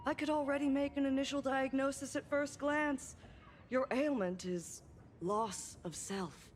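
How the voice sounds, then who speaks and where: A woman's voice: high voice, a little slow, slight background noise. A woman voicing a witty, teasing female doctor in a video game, playful, knowing and theatrical. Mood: knowing, probing.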